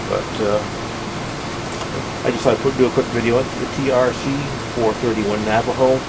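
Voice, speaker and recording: A man with a medium-pitched voice talks through a hands-on gadget overview like a hobbyist. His delivery is casual and plain-spoken, wandering and halting as he goes. A noticeable steady hiss and hum sits behind his voice. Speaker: radio collector and video host.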